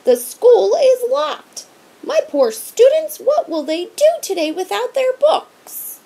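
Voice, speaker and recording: A woman's voice: sing-song, takes it slowly, mellow, exaggerated voice. A woman voicing a fussy, strict female teacher who has turned into a hairy snow monster, reading from a storybook. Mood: dismayed. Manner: shrill, fussy, quizzing.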